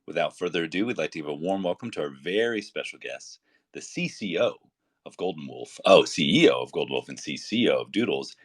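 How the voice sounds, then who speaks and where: A man co-hosts a casual interview over a remote call, sounding upbeat and chatty and tossing in a few fillers. His voice sits very low. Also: animated, fairly quick, breathy edge. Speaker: co-host.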